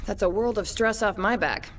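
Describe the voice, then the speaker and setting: A woman's voice: mid-range voice, a little slow, slight background noise, natural voice. A woman playing a wry, businesslike undercover internal affairs investigator in a video game, with a blunt, informal delivery. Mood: relieved, wry. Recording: voice under loud background music.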